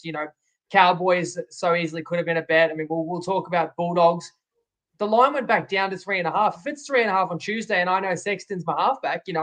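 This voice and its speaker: A man's voice: high-pitched, fairly bright tone. A man with an Australian accent, co-hosting a betting podcast, reflective and a little self-critical, with some hesitant fillers. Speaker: co-host and tipster. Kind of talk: casual sports betting podcast chat.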